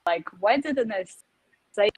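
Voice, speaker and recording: A woman telling a casual personal story as a participant, halting and searching for words. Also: mid-range voice, animated, breathy edge, rough recording. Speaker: show participant.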